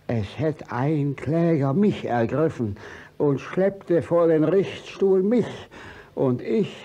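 Flustered, blustering village judge, swooping wildly. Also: male voice, a little high, warm tone. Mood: gloomy, foreboding. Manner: blustering, stammering, evasive. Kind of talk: 1950s German radio play acting. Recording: noisy old 1950s radio recording.

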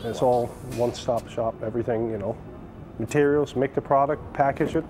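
A man from Liberia, a company co-founder, answering interview questions in a relaxed, conversational way. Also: mid-range voice, fairly quick, soft background music.

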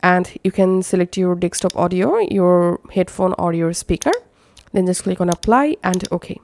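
A woman narrating a step-by-step software tutorial as an instructor. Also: on the low side, moderate pace.

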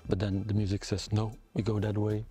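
Thoughtful young man with a low voice. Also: completely flat delivery, fairly quick, warm tone. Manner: thoughtful, searching for words. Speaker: composer and musician. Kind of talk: casual interview. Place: dark theatre stage.

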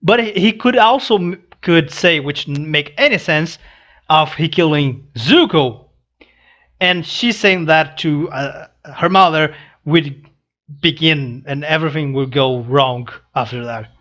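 A young adult man giving casual read-along commentary, halting and restarting his phrases as he goes. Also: a little high, fairly bright tone, lo-fi sound. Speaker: reaction video host. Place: small room indoors. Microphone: headset boom mic.

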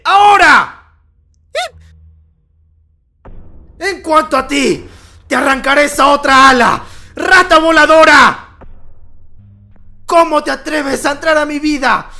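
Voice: sits high, pushed and bright, full character voice. An actor voicing a fiercely protective young big brother hero in a cartoon game fandub. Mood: furious. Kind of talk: fandub character acting.

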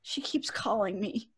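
A woman's voice: medium pitch, dark tone, slightly rough, heavily acted. A woman playing a troubled, haunted wife with a hesitant, dreamy manner in an old-time radio drama.

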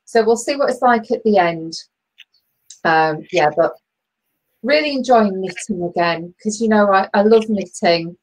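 A middle-aged woman co-hosting an online knitting chat over a video call, chatty and laughing often, with a loud booming voice. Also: measured pace, low-quality recording. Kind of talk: casual chat. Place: bedroom at home, knitting. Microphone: laptop webcam on video call.

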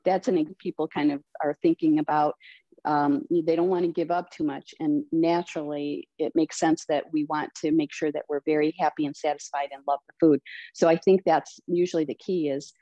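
A woman teaching plant-based cooking, explaining earnestly and gently, with frequent fillers, on a video call from her home kitchen. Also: low-pitched, steady tone, moderate pace, no echo. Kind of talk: answering questions in a video call. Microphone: webcam at a distance. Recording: slightly dull, dry video-call audio.

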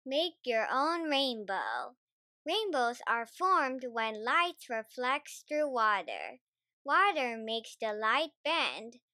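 Slow, animated, bright-voiced female reader. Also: a little high, dry recording, studio quality. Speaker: storybook reader. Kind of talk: children's read-aloud, scripted narration.

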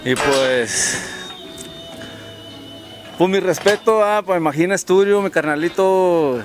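A man's voice: sits high, slow pace, music in the background. A man in his thirties from northern Mexico, a rapper talking casually and slangily into a handheld mic outdoors, often tagging "¿verdad?". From Ojinaga, Mexico. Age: 30s. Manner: laid-back, slangy, keeps adding "¿verdad?". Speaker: rapper guest. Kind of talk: casual interview talk. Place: outdoors on a park bench by a street.

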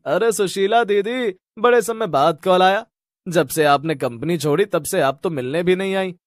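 A friendly company manager in a cartoon, acted by a man. He is chatty and familiar, with a raised pitch and a dry, close sound. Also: moderate pace, no echo, natural voice. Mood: friendly, puzzled. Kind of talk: animated story dialogue.

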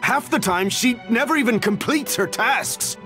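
A man's voice: extremely high pitch, expressive, a little slow, bright, forceful tone, soft background music, full character voice. An actor playing an anxious middle-aged official and fretful father in a video game, flustered, earnest and explanatory. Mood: anxious, confessing.

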